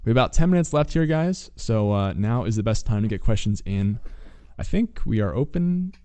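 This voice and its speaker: A man with a low voice hosting a podcast and giving listeners practical advice. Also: expressive, normal speed, warm tone, clean tone, no room sound. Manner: advising, moderating questions. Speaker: podcast host. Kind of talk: casual podcast chat.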